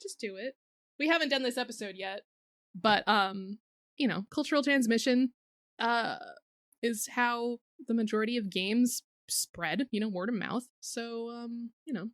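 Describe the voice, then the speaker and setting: A woman's voice: a little high, a little slow, a bit bright. A woman co-hosting a podcast in casual chat, with a playful, irreverent and sweary way of talking. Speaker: podcast co-host.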